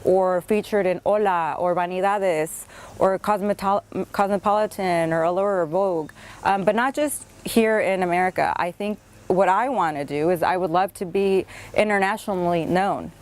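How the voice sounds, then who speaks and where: A woman's voice: lowish voice, normal speed. A young woman who speaks Spanish and English, talking earnestly and upbeat in an audition interview, with many fillers. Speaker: reality show contestant.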